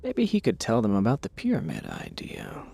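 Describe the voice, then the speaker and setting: A man narrating an audio drama with a gravelly, husky voice and a wry, dramatic delivery. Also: fairly low pitch, huge pitch swings, moderate pace, warm tone. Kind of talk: scripted audio drama storytelling.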